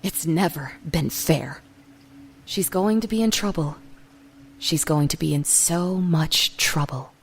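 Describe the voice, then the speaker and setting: A woman's voice: deep voice, slow pace, breathy edge. A woman narrator reading a scripted, dramatic story.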